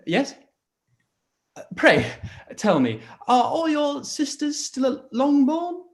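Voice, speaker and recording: A man playing an amiable, smitten young gentleman in a period radio play, effusive and a little hesitant. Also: very high voice, huge pitch swings, takes it slowly, full character voice. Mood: eager, flustered. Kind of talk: live-read period radio play acting.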